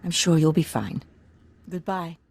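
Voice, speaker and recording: A woman's voice: low voice, animated, a little slow, creaky, a little mellow, slightly rough, exaggerated voice. Proud, refined noble lady. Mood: composed, earnest. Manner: formal, earnest. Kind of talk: video game character acting.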